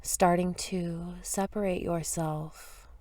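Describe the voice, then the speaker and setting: A woman leading a guided meditation in a soft, gentle, soothing voice, with unhurried phrases and long pauses, at times breathy. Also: fairly low pitch, fairly even intonation, dry recording. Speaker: meditation teacher.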